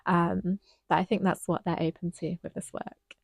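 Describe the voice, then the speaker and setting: A woman co-hosting a casual dance review, talking thoughtfully with frequent pauses and a slightly croaky voice. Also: fairly low pitch, level tone, dry recording, clean studio sound. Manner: thoughtful, hesitant with frequent ums.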